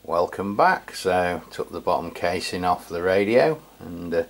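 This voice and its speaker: A man's voice: deep voice, lively intonation, a little slow, vocal fry. A middle-aged man giving a casual, matter-of-fact hands-on product review. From England. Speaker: radio review channel host.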